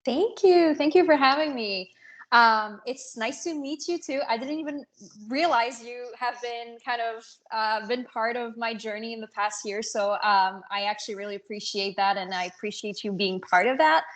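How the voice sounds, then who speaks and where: A woman answering casually as an interview guest, earnest and somewhat halting as she searches for words. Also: fairly high pitch, fairly bright tone. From North Africa and France. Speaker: podcast guest, business coach.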